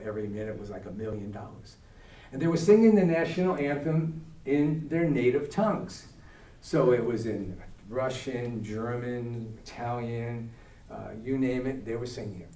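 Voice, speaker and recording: Male guest speaker, mellow tone, conversational with audience questions, distant echoey church hall. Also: medium pitch. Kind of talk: adult education talk.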